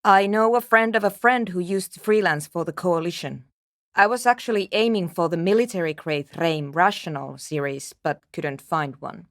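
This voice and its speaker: A woman's voice: lowish voice, normal speed, no echo, clean studio sound, natural voice. A woman playing a guarded, impatient and anxious programmer in a video game, with a terse, precise and matter-of-fact way of talking. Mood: curt, dry. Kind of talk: scripted game character dialogue.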